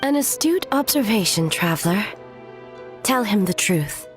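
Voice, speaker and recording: A woman playing a regal, composed ruler in a video game, speaking in a formal, measured and assured way. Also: medium pitch, lively intonation, faint music behind, natural voice. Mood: commanding.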